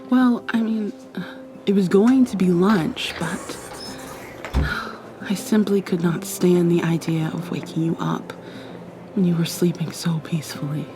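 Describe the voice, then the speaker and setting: Woman as teasing, affectionate dominant girlfriend, ASMR roleplay: lowish, very relaxed pace, intimate half-whispered delivery. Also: unhurried, music in the background, close to an everyday voice. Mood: tender, doting. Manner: soft, intimate, coaxing, often dropping to a whisper.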